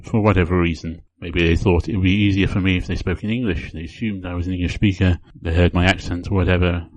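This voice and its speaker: Low-voiced man chatting on his podcast. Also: little pitch movement, dry recording, muffled recording. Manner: unhurried, chatty, often repeats words while thinking. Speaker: podcast host. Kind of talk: casual solo podcast talk.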